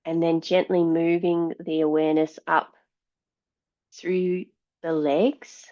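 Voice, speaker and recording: A woman yoga teacher giving guided meditation and yoga instruction in a calm, measured, soothing way. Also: deep voice, muffled recording.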